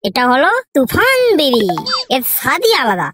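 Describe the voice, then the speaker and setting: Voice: high voice, huge pitch swings, normal speed, sharp tone, soft background music, heavily acted. A performer voicing a boastful, crude know-it-all schoolboy in a comedy cartoon. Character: boastful young schoolboy, know-it-all about cheap smokes.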